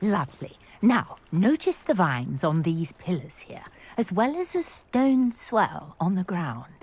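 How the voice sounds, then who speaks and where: A woman's voice: fairly low pitch, sing-song, slow pace, a little mellow, breathy edge, exaggerated voice. A woman voicing an eager, chatty witch archaeologist in a video game, prone to exclaiming in wonder. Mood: encouraging. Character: excitable scholarly witch, archaeologist obsessed with Merlin.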